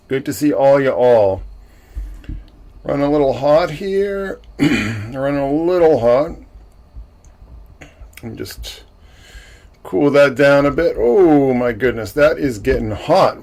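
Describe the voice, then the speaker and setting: A man hosting a livestream in casual chat, a self-described loudmouth with a big loud voice who jokes and greets viewers by name. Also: medium pitch. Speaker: livestream host.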